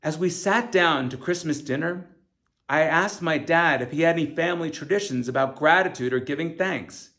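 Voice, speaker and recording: A man reads his own first-person memoir as scripted audiobook narration, in an earnest, deliberate manner with emphatic stresses. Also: fairly high pitch, a bit bright. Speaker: author reading own audiobook.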